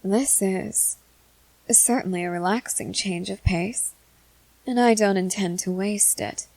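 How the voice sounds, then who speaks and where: A woman plays a haughty, self-possessed schoolgirl in an ASMR roleplay. She speaks formally and deliberately at a medium pitch, with a sardonic edge. The sound is dry and close, with no room echo. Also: creaky, a little mellow. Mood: calm, pleased. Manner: formal, articulate, measured speech with deliberate pauses. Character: composed, haughty junior high schoolgirl, amused and sardonic. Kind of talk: scripted ASMR roleplay monologue.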